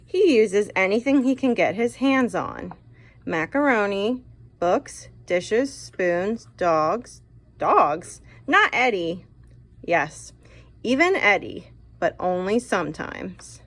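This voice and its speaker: A woman reads a children's picture book aloud at an unhurried pace. She sounds like a teacher, expressive and guiding, and pauses for asides and questions to her young listeners. Her voice sits at a medium pitch. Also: no room sound.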